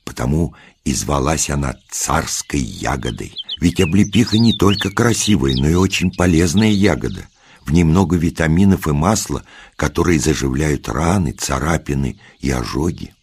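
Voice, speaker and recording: A man narrating a scripted children's tale in an expressive, deliberate storyteller's delivery. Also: extremely low pitch, creaky, noisy background, heavily acted. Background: music.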